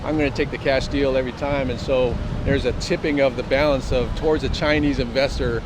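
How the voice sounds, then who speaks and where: A man's voice: steady tone, brisk. A man explaining things conversationally as an interview guest. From Southern California, USA. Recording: clear outdoor rumble and wind noise.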